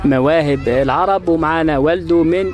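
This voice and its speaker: A man with an Egyptian accent interviewing players and parents outdoors at a youth football event, in cheerful, quick patter. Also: on the high side. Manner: rapid, cheerful, blessing-filled patter. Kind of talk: upbeat on-site interview.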